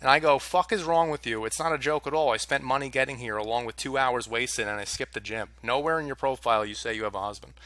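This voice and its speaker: A young man vents to the camera about something that went wrong, sounding exasperated and swearing freely. His voice is bright and pushes forward. The sound is dry, with no room echo. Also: medium pitch, fast, hardly any pauses. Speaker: vlogger. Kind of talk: casual storytelling rant to camera.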